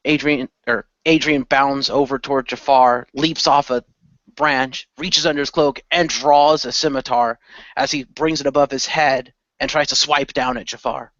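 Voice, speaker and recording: A man tells improvised adventure action in the present tense. His pitch is average for a man. The recording is dry and somewhat dull, like a homemade radio play. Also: steady tone, normal speed, fairly bright tone, dry recording. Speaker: narrator and player in amateur radio play.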